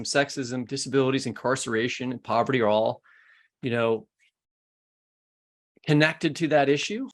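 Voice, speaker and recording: An American man speaks as a podcast host. He moves between scripted introductions and interview questions, at a middling pitch for a man.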